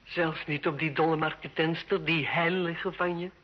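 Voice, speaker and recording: A woman's voice: low-pitched, fairly even intonation, moderate pace. An actress playing a proud, bitter, lonely noblewoman wife in a theatrical, reproachful manner in an old Dutch radio drama. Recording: dull old radio play recording.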